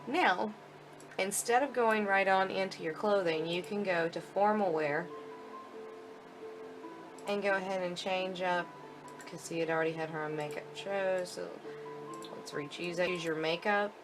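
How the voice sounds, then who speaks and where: Female game demo presenter, low register, informal and instructional, clear music bed underneath. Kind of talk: casual live walkthrough commentary.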